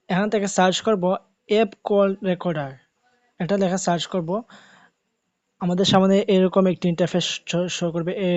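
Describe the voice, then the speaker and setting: A man's voice: sits high, darkish tone, dry recording, muffled recording. A man narrating a how-to video as a tech host, in repetitive, instructional step-by-step phrasing.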